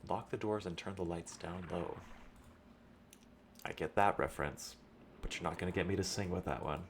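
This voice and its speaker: Young man, deep voice, rambling let's-play chat, noisy background. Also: moderate pace. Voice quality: low voice. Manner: rambling, chatty, thinks aloud. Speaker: streamer.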